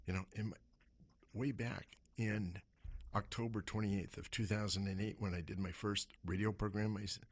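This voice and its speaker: A man hosts a radio program on money, his voice hoarse and husky. He speaks in a fairly low register, leaning on rhetorical questions with lively rises and falls. The sound is close studio microphone. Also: no room sound. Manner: rhetorical questions, animated pitch swings. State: hoarse from smoke. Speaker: radio show host and investment advisor. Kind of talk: talk radio monologue.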